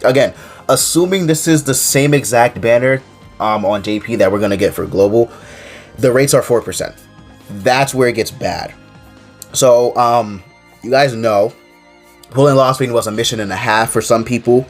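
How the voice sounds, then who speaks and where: This is a young man with a congested, stuffy-nosed voice at an ordinary middle pitch. He chats about a mobile game in a loose, rambling way, full of fillers and restarts. Soft music plays quietly behind him. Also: moderate pace. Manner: rambling, filler-heavy, keeps saying "to be honest". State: stuffy nose from a cold. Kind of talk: casual game commentary to camera.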